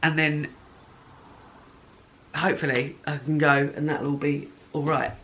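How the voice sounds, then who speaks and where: A woman's voice: sits low, flat delivery, a little slow, fairly bright tone, slight background noise. A woman chatting casually in a home vlog diary about her plans and reading, rambling and correcting herself as she goes. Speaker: book vlogger.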